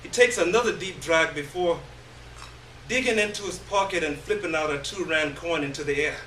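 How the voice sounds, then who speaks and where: A roomy, distant recording carries a man's notably high voice as he reads a story aloud on stage. His delivery is theatrical and halting, with long pauses between phrases. Faint noise sits behind him. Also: fairly bright tone. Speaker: reader of a short story. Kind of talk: dramatic first-person literary reading on stage. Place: live indoor stage reading. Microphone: distant microphone.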